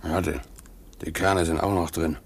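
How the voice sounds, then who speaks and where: A man's voice: low voice, fairly even intonation, normal speed, gravelly. A man playing a stolid, fatalistic ship stoker in a German radio play, laconic and colloquial with dry irony. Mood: curious. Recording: faint background noise on radio recording.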